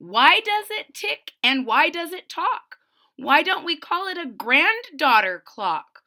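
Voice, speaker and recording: Animated woman reading a picture book. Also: high-pitched, slow pace. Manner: expressive storyteller, adds plain-spoken asides for children. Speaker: read-aloud narrator. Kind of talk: children's picture book read-aloud.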